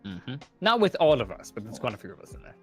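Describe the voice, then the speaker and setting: A man's voice: medium pitch, wildly swooping pitch, fast talker, a bit bright, soft background music. Casual nineteen-year-old guy, mid-range voice. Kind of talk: casual group chat.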